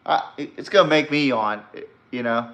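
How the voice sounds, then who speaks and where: A man's voice: mid-range voice, normal speed, a bit bright, rough recording. A man hosting a podcast, giving casual, opinionated commentary in a rambling, blunt and emphatic way. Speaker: sports podcast host.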